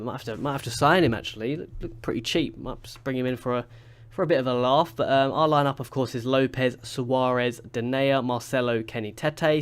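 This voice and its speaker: A man's voice: mid-range voice, clean tone. Stuffy-nosed man chatting over gameplay. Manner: chatty, rambling with fillers and restarts. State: stuffy from a cold. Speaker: gaming YouTuber. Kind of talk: casual let's-play commentary.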